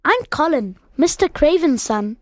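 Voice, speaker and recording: A woman's voice: high-pitched, lively intonation, unhurried, a little mellow, no room sound, clean studio sound, voice pushed up. A woman voicing a sickly, lonely, demanding ten-year-old boy in a storybook reading, with a self-pitying manner. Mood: guarded, fretful.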